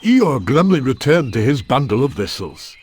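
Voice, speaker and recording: A man's voice: medium pitch, big pitch swings, normal speed, darkish tone, breathy edge. A man giving scripted narration of a children's storybook as the narrator.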